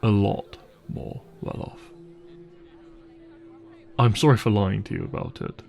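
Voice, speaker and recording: A man playing a wealthy, caring young boyfriend in an intimate audio roleplay, speaking in a gentle, hesitant and reassuring way. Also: lowish voice, a little slow, vocal fry, dull audio, soft background music. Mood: apologetic, hesitant.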